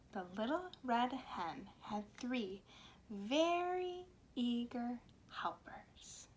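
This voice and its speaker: A woman speaks as a storyteller for small children. Her voice sits a little high and her delivery is very slow and deliberate, with expressive phrasing. There is slight background noise on the recording. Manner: slow, deliberate, animated phrasing with dramatic pauses. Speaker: speech pathologist and children's storyteller. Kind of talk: expressive read-aloud storytelling for young children.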